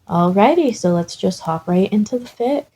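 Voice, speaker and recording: A woman's voice: mid-range voice, animated, moderate pace, dark tone, breathy edge, poor audio. A woman reading fan fiction aloud as scripted story narration. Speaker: podfic narrator.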